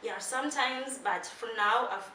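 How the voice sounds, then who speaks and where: A woman in her twenties from Tanzania, a designer answering interview questions in a soft, modest way, laughing now and then. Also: a little high, unhurried. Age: 20s. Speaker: guest, accessory designer. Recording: echoey room, mic at a distance, noisy.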